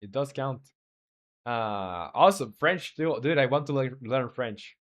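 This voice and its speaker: Halting man thinking aloud on stream. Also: medium pitch, animated. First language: Spanish. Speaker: game developer streaming live. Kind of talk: casual live-stream chat and coding talk.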